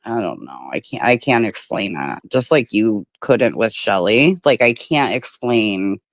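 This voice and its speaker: A woman's voice: very deep voice, no echo. A woman from the Midwest of the United States hosting a casual livestream chat, talking loosely, swearing freely and laughing often. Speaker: livestream host.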